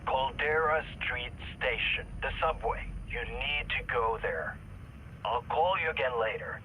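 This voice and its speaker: A man's voice: mid-range voice, expressive, unhurried, bright, forceful tone, slight background noise, low-quality recording. An actor playing a mysterious, secretive man giving urgent instructions over a phone.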